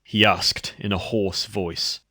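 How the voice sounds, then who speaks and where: Low-voiced man narrating horror fiction, dry sound. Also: level tone, takes it slowly, sharp tone, no room sound. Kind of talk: scripted horror story narration.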